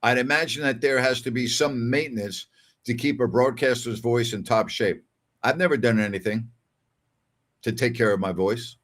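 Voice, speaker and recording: Older man, sports talk show host, medium pitch, casual and emphatic, repeats himself to make a point. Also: steady tone, moderate pace, fairly bright tone. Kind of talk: casual call-in show chat reading listener messages.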